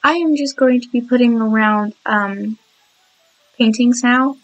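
Young woman narrating her build, a bit hoarse, chatty and giggly, higher voice. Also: a little slow, soft background music, low-quality recording. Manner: chatty, giggly, apologetic, many restarts. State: sore throat, voice cracking. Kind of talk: rambling game voiceover.

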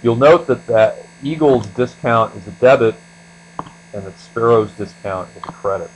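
A man giving an explanatory screencast lecture as an instructor, speaking deliberately and letting the ends of phrases trail off. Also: mid-range voice, poor audio. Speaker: accounting instructor. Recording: steady mains hum behind voice.

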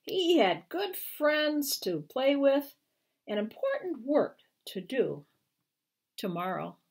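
An older American woman, a children's author, speaking to viewers at home in an expressive, unhurried storytelling manner. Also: high voice. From Iowa, United States. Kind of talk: picture book read-aloud and informal talk to viewers. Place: living room at home, indoors. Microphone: webcam at arm's length.

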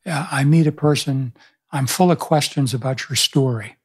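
Older man, husky voice, storytelling. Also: medium pitch, moderate pace. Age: 70s. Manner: thoughtful storytelling with frequent restarts. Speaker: pastor and author, guest. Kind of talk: reflective interview conversation. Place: small room, indoors. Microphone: studio microphone on boom arm.

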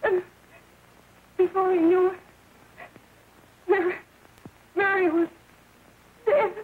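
High-pitched, distraught young woman confessing. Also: steady tone, takes it slowly. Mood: tearful, anguished. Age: young adult. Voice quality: tearful, quavering. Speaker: actress in a crime film. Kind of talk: 1930s melodramatic film acting. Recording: scratchy, tinny, noisy 1930s film soundtrack.

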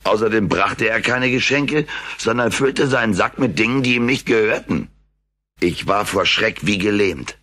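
Cheeky alien houseguest, forceful, husky, deadpan wisecracker, radio play. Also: male voice, fairly low pitch, moderate pace. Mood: indignant, wry. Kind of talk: German radio play dub acting.

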